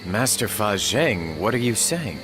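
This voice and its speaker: A man's voice: on the low side, very animated, measured pace, over music. A man playing a noble, earnest warlord in a dramatic video game, speaking with solemn courtesy and deliberation. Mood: shocked.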